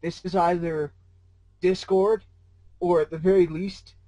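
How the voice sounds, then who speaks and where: A man giving casual, expressive commentary as a co-host reacting to a story. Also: high-pitched, unhurried, dry recording, low-quality recording.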